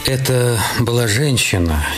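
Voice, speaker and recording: An actor playing a police inspector in a radio detective play, asking questions in a measured, probing manner. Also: male voice, medium pitch, expressive, loud music behind the voice, lightly acted. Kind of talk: Russian radio play, part dialogue, part narration.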